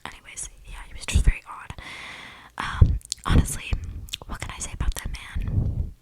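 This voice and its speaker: Casting: eccentric young vampire gal a woman acting, all breathy whisper, gossipy and flippant ASMR roleplay, rambling close on the mic. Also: moderate pace, a little mellow, close to an everyday voice, poor audio. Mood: chatty, conspiratorial. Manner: rambling, gossipy, slangy, dramatic. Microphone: close mic picking up breathing.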